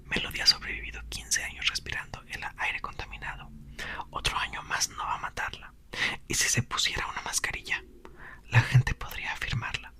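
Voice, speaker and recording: A man's voice: moderate pace, sharp tone. A man reading a storybook in a whisper, giving lines to a mocking teenage older sister.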